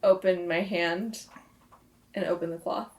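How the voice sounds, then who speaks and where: A woman's voice: on the low side, steady tone, a little slow, darkish tone. A woman playing a tabletop roleplaying game, casually improvising her character's words and saying what she does.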